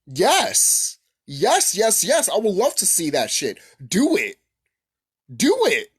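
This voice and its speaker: A man hosting a casual, unscripted gaming vlog, rambling excitably, repeating himself and swearing casually. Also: sits high, slow, husky. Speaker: gaming video host.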